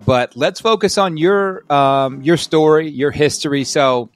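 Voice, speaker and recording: A man hosting a podcast interview, enthusiastic and quick to address the listeners directly as he prompts his guest. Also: fairly high pitch, faint music behind. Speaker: podcast host.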